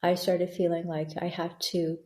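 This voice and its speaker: A woman in her thirties with a low, mellow voice speaks as an interview guest, recounting her own story in an earnest, reflective way. She often restarts her sentences. The room around her rings with noticeable echo. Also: little pitch movement, normal speed. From born in Bangladesh, raised in Thailand. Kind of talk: candid conversational interview. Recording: echoey room.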